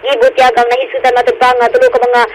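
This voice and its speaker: A woman with a Filipino accent giving a radio field report, halting with frequent hesitations and repeats. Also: on the high side, completely flat delivery. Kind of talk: news report, partly improvised. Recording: noisy remote radio report feed.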